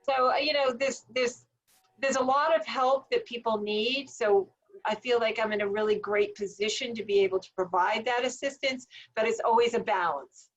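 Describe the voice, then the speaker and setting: A woman's voice: a little high, moderate pace, muffled recording. A woman on a video call webinar explaining export help in a conversational way, with many fillers. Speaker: trade center assistant director. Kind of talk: webinar panel answer.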